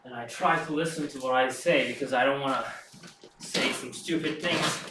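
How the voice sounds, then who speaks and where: A man who is not a native English speaker, rambling and thinking aloud after a home workout, with many halting restarts. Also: medium pitch. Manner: rambling, halting, self-reflective. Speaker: fitness streamer. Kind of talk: casual musing after a workout. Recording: roomy echo, distant mic, faint background hum.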